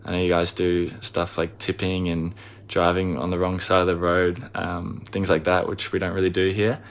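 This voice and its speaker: Laid-back Australian man, low flat voice. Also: normal speed. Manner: laid-back, filler-heavy. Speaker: listener sending in a video. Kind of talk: recorded video message.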